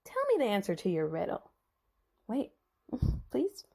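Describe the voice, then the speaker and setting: A woman's voice: mid-range voice, huge pitch swings, unhurried, creaky, dark tone, husky, exaggerated voice. A woman playing an anxious, manipulative young bride in a Bible story dramatization, pleading and coaxing dramatically.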